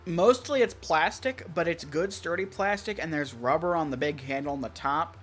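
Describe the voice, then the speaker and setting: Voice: mid-range voice, normal speed. An American stream co-host chatting casually and in technical detail, rambling through features with firm opinions. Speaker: stream co-host, weather radio collector.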